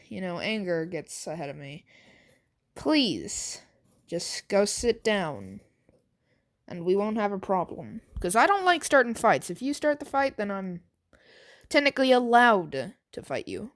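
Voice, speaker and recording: A woman voicing a laid-back teenage schoolboy in a story, casual and calmly defiant in an everyday voice. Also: medium pitch, no echo, clean studio sound.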